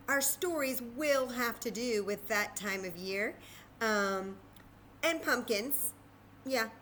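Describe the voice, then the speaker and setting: Animated, high-voiced woman reading to children. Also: a little hiss or hum. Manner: expressive, chatty, with exaggerated wonder and questions to children. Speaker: children's librarian storyteller. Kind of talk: read-aloud story time for young children.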